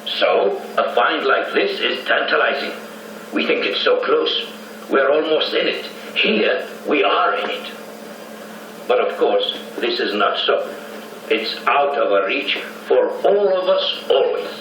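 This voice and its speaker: Proud statesman, bright forceful voice, eloquent, measured, radio drama, strong echo. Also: mid-range voice, lively intonation, distant mic. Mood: reflective. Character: dignified, proud Egyptian male statesman, philosophical and quietly defiant. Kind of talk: 1990s radio drama acting. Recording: very echoey radio copy, faint noise.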